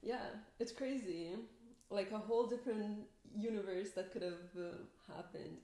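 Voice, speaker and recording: A woman's voice: mid-range voice, measured pace, dark tone. Chatty young woman in echoey room. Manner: chatty, leads questions, giggles often. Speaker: podcast co-host. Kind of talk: casual chat.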